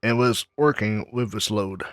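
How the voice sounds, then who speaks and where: A man doing a let's play, reading game dialogue aloud somewhat haltingly. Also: on the low side, a bit bright, no echo, clean studio sound. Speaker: gamer reading the player character's lines.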